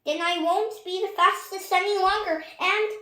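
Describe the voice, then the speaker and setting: A woman's voice: very high-pitched, steady tone, normal speed, pushed and bright, pitched above the performer's own voice. A woman voicing a panicky male storybook sheriff, flustered and repeating himself. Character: panicky male cowboy sheriff, boastful but nervous. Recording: echoey room.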